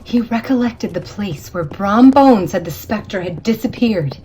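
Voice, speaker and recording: A woman narrating a spooky tale in a theatrical, suspenseful way, with deliberate dramatic pauses, like a storybook reader. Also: mid-range voice, animated, warm tone, slight background noise, poor audio.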